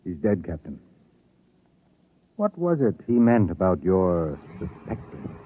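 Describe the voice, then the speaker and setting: A man's voice: sits low, sing-song, slow pace, husky, no echo, heavily acted. A man acting in a 1950s radio drama as an earnest, rational expedition doctor, questioning, on a muffled old recording. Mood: sober.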